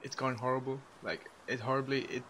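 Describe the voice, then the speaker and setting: A man's voice: mid-range voice, steady tone, brisk, background noise. A man hosting a casual online podcast, laid-back and full of fillers, laughing now and then. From Australia. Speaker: podcast host. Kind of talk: casual group chat.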